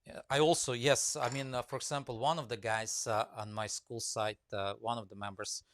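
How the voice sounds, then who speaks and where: A man with a Russian accent talks loosely to his live-stream viewers, full of ums and restarts. His voice sits at an ordinary middle pitch. The sound is dry and close, with no room echo. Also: steady tone, moderate pace, a bit bright. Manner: rambling, many fillers, reads comments aloud. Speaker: woodcarving teacher live-streaming.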